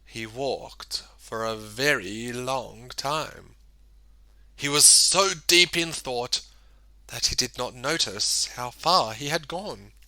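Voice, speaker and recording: A man reading a children's story aloud in an expressive, theatrical way, with emphatic delivery and dramatic pauses. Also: medium pitch, no echo, low-quality recording. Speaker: storybook reader.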